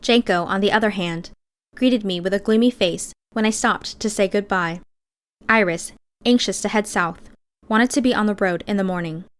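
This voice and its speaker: A woman narrates a novel in the first person. Her voice sits at a middle pitch. The reading is scripted audiobook storytelling. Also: normal speed, clear, resonant tone.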